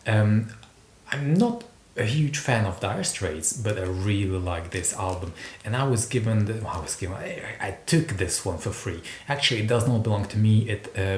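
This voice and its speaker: A man from Slovakia with a Slovak accent, talking casually to camera in a hesitant, rambling way, often repeating words. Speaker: video host, record collector. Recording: roomy echo, noticeable low rumble noise.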